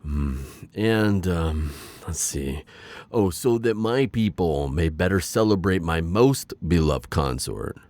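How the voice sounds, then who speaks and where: A man playing a gloating, lovestruck dark warlord in an intimate roleplay audio, with theatrical, playful authority and lingering pauses. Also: low-pitched, animated, no room sound, heavily acted. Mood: imperious, smug.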